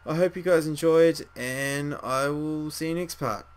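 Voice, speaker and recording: A hoarse man reading a visual novel aloud as narrator, wry and adding asides. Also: on the high side, unhurried. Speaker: let's play narrator.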